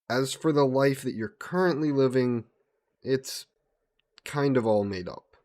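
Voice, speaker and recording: Casting: male actor as a lovestruck god disguised as a childhood friend, lowish voice, unhurried, gentle and evasive, for intimate roleplay audio. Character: soft yandere god posing as a childhood friend.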